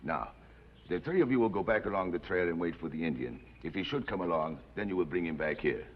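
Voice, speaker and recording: An actor playing an aging Mexican bandit leader in an old TV western, calm and deliberate, courteous with quiet menace. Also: male voice, deep voice. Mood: commanding. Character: measured, authoritative aging Mexican outlaw gang chief. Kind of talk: 1950s television western acting. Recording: dull, noisy old TV western soundtrack.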